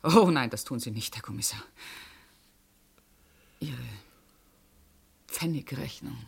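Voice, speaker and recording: Low, husky, cool suspect. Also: female voice, lively intonation, slow, exaggerated voice. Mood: scornful, defiant. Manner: curt, cold, challenging. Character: cool, defiant woman suspected of murder. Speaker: actor. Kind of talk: German radio crime drama acting.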